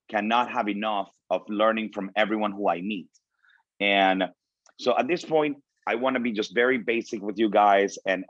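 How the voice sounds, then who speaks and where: Low-voiced man in dull, lo-fi sound. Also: no echo. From Venezuela. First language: Spanish. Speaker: guest speaker. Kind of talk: informal motivational talk to students. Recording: dull, lo-fi audio.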